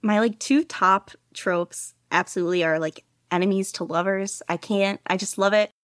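A woman's voice: mid-range voice, steady tone, normal speed, fairly bright tone, clean tone, no room sound, clean studio sound. A woman hosting a casual podcast chat, talking enthusiastically with lots of fillers and laughter. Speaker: podcast host.

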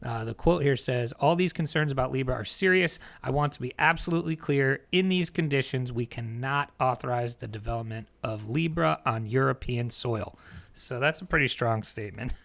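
A man's voice: mid-range voice, normal speed, vocal fry, a bit bright. A man co-hosting a podcast in casual chat, reading news items aloud with many fillers and frequent laughs. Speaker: podcast co-host.

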